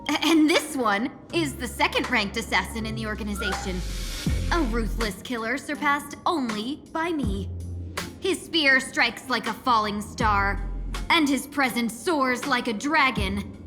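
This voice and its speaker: A woman playing a sly, courteous fox lady and smooth-talking negotiator in a video game, measured and theatrical. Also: a little high, animated, over music. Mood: grandiose.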